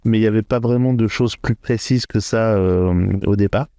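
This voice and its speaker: A middle-aged man from northern France answering interview questions, thoughtful and hesitant, with frequent filler pauses. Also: on the low side, mellow, no room sound, clean studio sound. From France, Hauts-de-France. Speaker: podcast guest, entrepreneur. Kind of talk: interview conversation.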